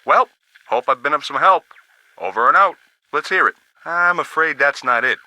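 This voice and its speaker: An actor playing a folksy, easygoing radio operator in a video game, chatty and plain-spoken. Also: male voice, a little high, lively intonation, moderate pace, sharp tone, dry recording, low-quality recording. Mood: obliging. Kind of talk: scripted video game dialogue acting.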